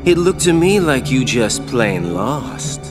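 A man's voice: mid-range voice, very animated, takes it slowly, music in the background. An actor voicing a flippant, laid-back young male villain. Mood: smug. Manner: drawling, flippant.

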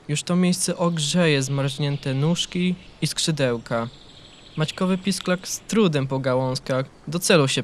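A man reading a scripted children's story as narrator, in an expressive literary storytelling manner. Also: on the high side, a little hiss or hum.

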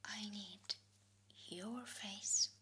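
A woman in her twenties whispering gentle instructions in an ASMR personal-attention role-play, close to the microphone. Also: medium pitch, steady tone, slight background noise. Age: 20s. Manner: soft, slow, gentle instructions with pauses. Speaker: ASMR creator, sketch artist role-play. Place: indoor studio with plain dark backdrop.

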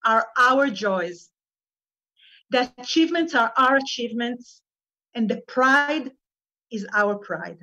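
Slow, solemn woman, lo-fi webcam. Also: a little high, lively intonation, pushed and bright. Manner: slow and solemn, measured phrases. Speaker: speaker at a celebration event. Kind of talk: formal greeting speech. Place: living room indoors. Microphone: webcam on a video call. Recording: slightly dull lo-fi sound.

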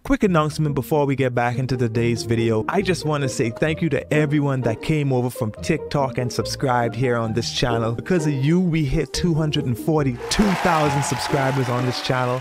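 Young man, casual vlogger, music behind. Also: mid-range voice. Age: young adult. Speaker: host of online video prank channel. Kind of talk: casual video chat and announcements to viewers. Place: small bedroom. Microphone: boom-arm studio mic and headphones.

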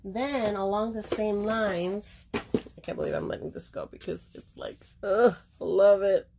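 A woman's voice: mid-range voice, very animated, a little slow, creaky, noisy background. A woman casually showing old books for sale, chatting and rambling as she flips through pages. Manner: chatty, rambling, trails off mid-sentence. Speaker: seller showing books for auction. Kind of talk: casual show-and-tell. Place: indoors at a table of books.

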